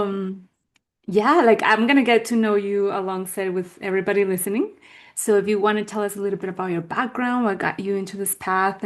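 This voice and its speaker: A woman hosting a casual interview, encouraging her guests with affirming responses. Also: medium pitch. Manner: warm, encouraging, affirming listener. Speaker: podcast host.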